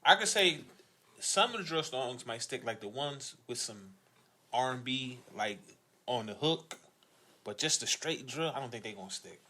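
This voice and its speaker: A middle-aged male panelist argues in a bright, punchy voice. His pitch swings widely as he makes blunt, profane points and cuts in. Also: animated, moderate pace. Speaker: podcast panelist. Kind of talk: casual debate.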